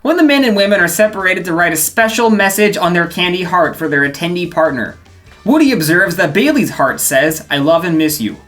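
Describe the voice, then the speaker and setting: Woman video-essay host, low-ish voice, lively pitch, dry and sarcastic delivery. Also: brisk, soft background music. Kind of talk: scripted narration.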